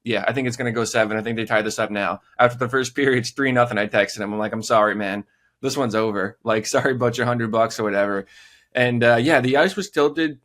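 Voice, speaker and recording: A man co-hosting a hockey podcast, easygoing and relaxed, chatting casually and laughing now and then. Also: mid-range voice, fast. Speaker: podcast co-host.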